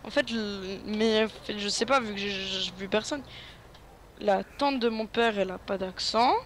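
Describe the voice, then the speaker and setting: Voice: mid-range voice, moderate pace, a bit bright. Playful, rambling co-player with slight hiss. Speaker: guest co-player. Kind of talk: casual co-op gameplay chat.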